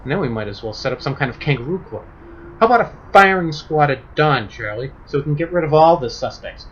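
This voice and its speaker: A man's voice: on the high side, lively intonation, fast talker, muffled recording, slight background noise, lightly acted. A man playing a sardonic, exasperated husband standing up to suspicious neighbors in a radio play. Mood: sarcastic. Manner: biting, sarcastic, exasperated. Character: sardonic, indignant husband defying a suspicious mob.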